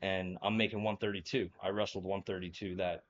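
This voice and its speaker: Low-voiced New Jersey man telling stories. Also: little pitch movement, a little fast, dull audio. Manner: rambling, filler-heavy, laughs often. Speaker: guest, former college wrestler. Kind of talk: casual interview storytelling.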